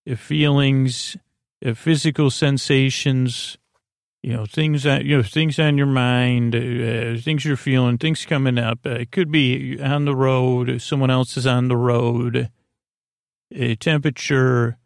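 Male bedtime-podcast host: mid-pitched with heavy fry, very dry sound, rambling and hesitant delivery that wanders off mid-thought. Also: fairly even intonation, dry recording. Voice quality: creaky, gravelly. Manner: meandering, halting, trailing off mid-thought. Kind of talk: rambling bedtime monologue to help listeners sleep.

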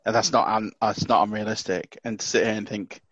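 Loud, excitable man, dull recording. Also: fairly low pitch, brisk, no echo. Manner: excitable, stumbling restarts, loud. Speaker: podcast co-host. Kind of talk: casual podcast chat. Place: small house.

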